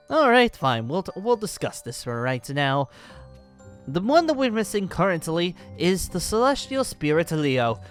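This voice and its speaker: A man's voice: high voice, big pitch swings, measured pace. A man playing a boastful, jovial ancient dragon slayer wizard in a theatrical, formal manner for an improvised Minecraft roleplay. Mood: amused, explaining. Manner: theatrical, grandly formal, chuckling. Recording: background music under the voice.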